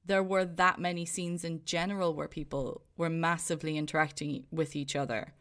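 An Irish woman co-hosting a podcast, chatting casually and thoughtfully as she reviews a film, often trailing off softly. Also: sits low, fairly even intonation, normal speed. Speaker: podcast co-host.

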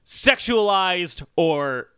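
A man's voice: sits high, animated, drawn-out words, pushed and bright, smooth, dry recording. A man hosting a comedic music podcast, chatting casually and arguing his points with mock-indignant emphasis. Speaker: podcast host.

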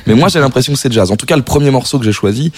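A man's voice: mid-range voice, expressive, very fast, darkish tone, no echo. A man visiting a radio studio as a guest, chatting with enthusiasm and slang. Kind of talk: casual radio chat.

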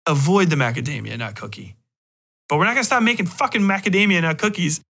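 A man's voice: fairly high pitch, expressive, fast, pushed and bright. A man hosting a podcast, talking casually and emphatically with blunt opinions and frequent swearing. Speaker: podcast host.

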